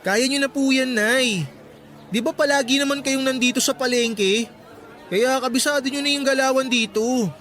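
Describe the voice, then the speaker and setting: Sulky filipino schoolboy, really high bright voice, whiny, radio drama. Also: male voice, moderate pace. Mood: wheedling. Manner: whiny, complaining. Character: sulky, whining, ashamed schoolboy son of a vendor.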